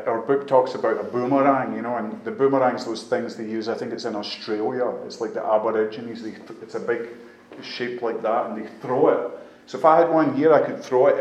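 A man's voice: fairly low pitch, a little mellow. A man in his fifties with a Glasgow-area Scottish accent, giving a plain-spoken, conversational personal testimony to a group. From Scotland, just outside Glasgow. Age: 50s. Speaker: speaker sharing at a recovery meeting. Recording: echoey room with faint background noise.

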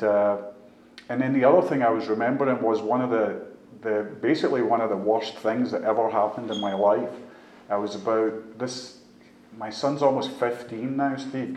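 A man's voice: mid-range voice, a little mellow. A man in his fifties with a Glasgow-area Scottish accent, giving a plain-spoken, conversational personal testimony to a group. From Scotland, just outside Glasgow. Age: 50s. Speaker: speaker sharing at a recovery meeting. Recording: echoey room with faint background noise.

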